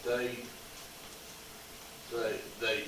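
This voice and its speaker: Halting man, distant, very echoey. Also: medium pitch, little pitch movement, pushed and bright. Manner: halting, many restarts and "uh"s. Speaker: Bible study group member. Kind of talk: casual chat. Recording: very echoey room, far from the mic, noisy.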